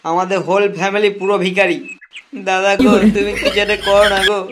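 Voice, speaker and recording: A man's voice: high voice, level tone, fast, fairly bright tone, slight background noise. A man voicing a hapless, timid young male prisoner in a comic cartoon, pleading and whiny. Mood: sheepish, pleading.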